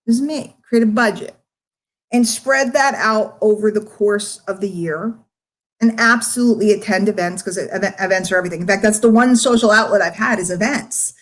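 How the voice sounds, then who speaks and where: A woman's voice: medium pitch, normal speed. A middle-aged woman speaking casually to her online followers as a marketing coach, candid and rambling, with emphatic points made straight to the listener. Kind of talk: casual live-stream chat to followers.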